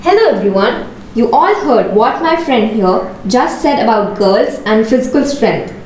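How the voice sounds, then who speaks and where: Teen girl acting eager indian girl in a school skit, lively high voice, echoey room. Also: a little slow, a little hiss or hum. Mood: indignant, determined. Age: teenager. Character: ambitious, excitable girl dreaming of the armed forces. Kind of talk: rehearsed school skit dialogue.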